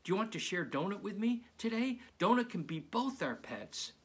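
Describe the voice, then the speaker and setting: A man's voice: sits high, big pitch swings, normal speed, a bit bright, husky, pitched above the performer's own voice, exaggerated voice. A man reading a storybook, voicing an eager, kind-hearted second-grade zombie boy with chirpy, earnest questions. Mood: friendly, caring.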